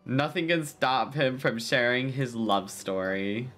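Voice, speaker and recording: He is a game streamer with a mid-pitched voice, reacting live in an informal, exclamatory way. The delivery is casual chat. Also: expressive, slow, a bit bright.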